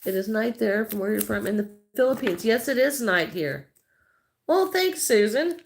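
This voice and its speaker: A woman's voice: a little high, animated, moderate pace, creaky, a little hiss or hum. A middle-aged Midwestern American woman chatting casually on a crochet livestream, greeting viewers by name and thinking aloud as she works.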